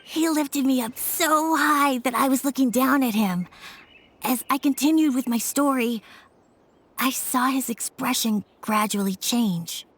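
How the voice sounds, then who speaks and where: A woman voicing a shy, earnest young boy who speaks softly and hesitantly in a game. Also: sits high, a little slow, husky, heavily acted. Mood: wistful. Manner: soft, hesitant storytelling. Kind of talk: game voice acting.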